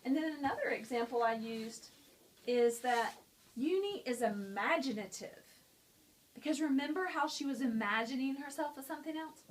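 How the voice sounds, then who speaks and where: A woman's voice: sits high, moderate pace. A woman teacher at a school, explaining a picture-book lesson to students in a friendly, explanatory way.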